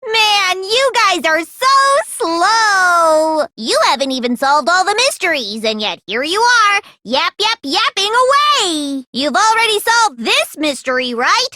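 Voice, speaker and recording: A woman's voice: very high-pitched, sing-song, slow pace, few pauses, bright, forceful tone, no echo, exaggerated voice. A woman playing a gleefully cruel, bubbly teenage girl mastermind in an anime game, with a taunting, exaggerated cutesy delivery. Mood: mocking glee. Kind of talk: anime video game voice acting.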